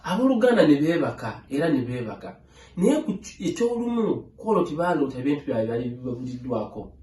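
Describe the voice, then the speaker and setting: A man narrating a children's story aloud in a measured, phrase-by-phrase read-along style. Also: fairly high pitch, very animated, dark tone. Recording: echoey room.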